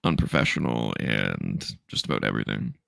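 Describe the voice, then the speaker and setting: A man co-hosting a casual podcast, stuffy and sniffly from allergies, loose and laughing, swooping high for emphasis. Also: lively intonation, normal speed, creaky, fairly bright tone, dry recording, studio quality. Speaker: podcast co-host. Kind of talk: casual chat.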